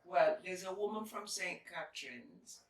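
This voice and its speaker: A woman's voice: lowish voice, expressive, unhurried. A woman playing a loving but firm elderly Caribbean grandmother in a drama, solemn and tender. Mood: solemn, gentle. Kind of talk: stage-style audio drama. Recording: echoey room, distant microphone.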